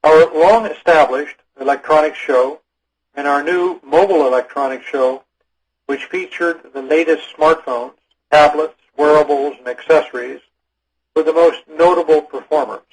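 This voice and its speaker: A man with a rough, husky voice pitched a little high reads a prepared business report. His delivery is formal and measured, that of an executive addressing a conference call. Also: rough recording. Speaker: company executive. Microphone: phone or conference call line.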